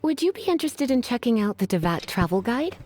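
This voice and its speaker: Flirtatious, teasing librarian, fairly high-voiced. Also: female voice, sing-song, quick pace, darkish tone. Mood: irritated, sly. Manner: coy, teasing, sighing drawl. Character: flirtatious, languid adult female librarian, teasing yet menacing. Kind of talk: video game voice acting.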